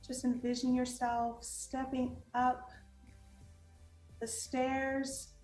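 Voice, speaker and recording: A woman leading a guided meditation in a calm, gentle manner, giving unhurried instructions with long pauses. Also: high voice. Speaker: meditation guide and art teacher. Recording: roomy echo with music underneath.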